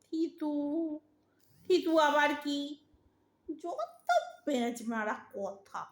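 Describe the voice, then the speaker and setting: A woman's voice: sits high, expressive, extremely slow, roomy sound, heavily acted. A woman playing an impatient but loving young married Bengali daughter in an audio drama, pleading and emotional, with a Bengali accent. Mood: impatient, teasing.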